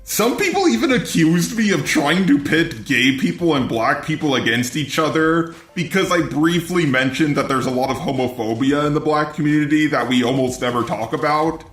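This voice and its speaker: A man's voice: on the high side, animated, normal speed, few pauses, a bit bright, echoey room. A synthetic, robotic male voice delivering scripted commentary in a blunt, sardonic way, as a robot persona. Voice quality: synthetic robotic text-to-speech voice. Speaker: video commentator.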